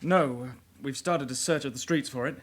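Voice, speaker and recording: An actor playing a young detective constable in a radio drama, a brisk, matter-of-fact sidekick. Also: male voice, medium pitch, a bit bright, lightly acted.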